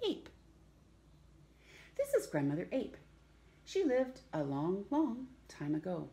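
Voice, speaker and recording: A woman's voice: mid-range voice, wildly swooping pitch, takes it slowly, vocal fry, mellow. A middle-aged woman reading a picture book aloud to young children, theatrically drawing out words and putting questions to her listeners. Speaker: storytime host reading aloud. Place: small room at home, indoors.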